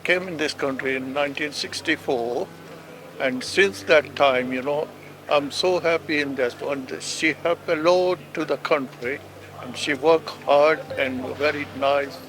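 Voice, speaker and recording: An older man speaks earnestly in simple phrases in a street interview. His voice sits slightly high, and faint street noise is heard behind him. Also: a little slow, fairly bright tone, rough recording. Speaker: member of the public.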